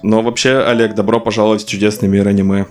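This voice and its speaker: A man's voice: on the low side, level tone, fast, darkish tone, soft background music. A man co-hosting a casual game podcast, telling playful stories and laughing as he talks. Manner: playful storytelling, laughs while talking. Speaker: podcast co-host, anime expert. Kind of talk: casual chat about games.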